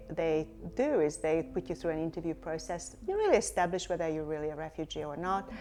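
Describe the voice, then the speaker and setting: Reflective Hungarian-born woman, lowish voice. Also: darkish tone. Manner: reflective, many fillers and restarts. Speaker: company CEO, guest. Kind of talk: interview answers.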